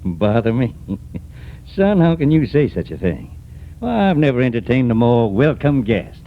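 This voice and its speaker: A man playing a sly, gruff crook who runs a hideout, in a 1940s radio crime drama. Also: medium pitch, big pitch swings, moderate pace. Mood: gleeful, oily. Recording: narrow, noisy 1940s radio recording.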